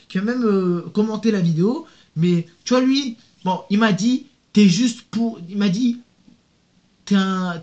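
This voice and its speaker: Young male YouTuber, higher-pitched, slangy casual rant to camera, full of fillers and restarts. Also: dull audio. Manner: animated, slangy, addresses viewer with "tu vois" and "gros"; reads out hostile comments, many fillers and restarts.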